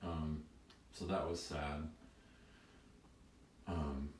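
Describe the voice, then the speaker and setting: A man's voice: very deep voice, fairly even intonation, extremely slow, darkish tone, a little hiss or hum. A man hosting a music session and chatting plainly and easily between songs. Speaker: fiddler and host. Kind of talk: casual chat between songs. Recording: roomy, distant mic.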